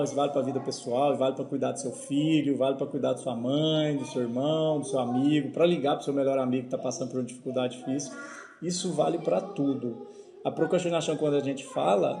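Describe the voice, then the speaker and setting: Mellow-voiced Brazilian man explaining. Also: fairly high pitch, gravelly. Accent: Brazilian Portuguese. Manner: explanatory, repeats words while thinking. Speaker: podcast co-host. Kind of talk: casual podcast discussion. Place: at home.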